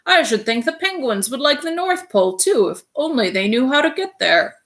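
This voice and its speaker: A woman reading aloud, voicing a shy, dreamy, bookish middle-aged man in an eager, gentle way. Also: high voice, sing-song, normal speed, voice pushed up, full character voice. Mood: excited.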